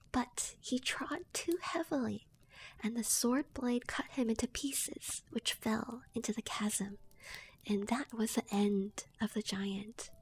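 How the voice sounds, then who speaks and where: A young woman streamer whispering a folk tale softly, giggling between asides to her chat. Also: fairly high pitch, a little hiss or hum. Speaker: streamer and storyteller. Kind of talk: ASMR story reading.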